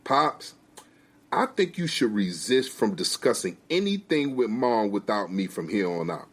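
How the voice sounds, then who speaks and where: A man's voice: lowish voice, big pitch swings, unhurried. A man voicing a candid son who talks frankly to his parents in casual slang. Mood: wry, frank.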